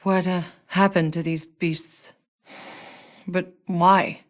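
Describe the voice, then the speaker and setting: A hardened female soldier from a sci-fi game, speaking on the low side. She is blunt and world-weary, with dry sarcasm and short, clipped phrasing. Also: flat delivery, slow pace. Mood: dry, guarded. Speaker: video game player character. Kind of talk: naturalistic game character voice lines.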